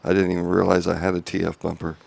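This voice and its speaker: A man giving a casual update video from his home garage, talking quietly in a monotone with many ums and restarts, on a small clip-on mic. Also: sits low, fast, creaky. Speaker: home car restorer. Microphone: small clip-on wireless mic.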